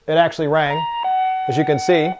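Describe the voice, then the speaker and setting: A man's voice: a little high, huge pitch swings, fairly quick. A man reviewing a product hands-on, talking informally and explaining things step by step. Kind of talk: casual unboxing and hands-on demo talk.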